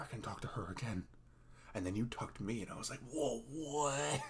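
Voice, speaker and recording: A man playing a sweet, goofy, self-conscious young boyfriend in an intimate ASMR roleplay, speaking in a halting, casual, reassuring way. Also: on the low side, vocal fry, slight background noise. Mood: tender, goofy.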